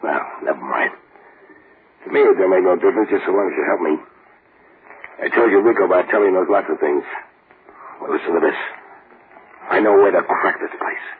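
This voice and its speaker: An actor playing a streetwise death-row convict who talks in tough slang, in old radio drama style. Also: male voice, low-pitched, brisk, pauses often, close to an everyday voice. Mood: scheming. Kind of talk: 1940s radio acting. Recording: tinny hissy old radio broadcast.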